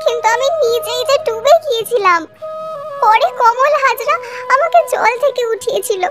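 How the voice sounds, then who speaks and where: Woman as anguished mother, very high voice, meek, pleading, cartoon melodrama, music behind. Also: moderate pace. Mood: tearful pleading. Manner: deferential, tearful pleading. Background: background music.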